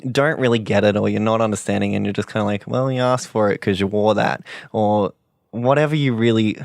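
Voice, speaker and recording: A young man with a very deep voice, co-hosting a podcast and talking passionately with lots of fillers. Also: fairly quick, creaky, no room sound, clean studio sound. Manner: passionate, rambling, many fillers. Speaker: podcast co-host. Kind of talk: casual podcast chat.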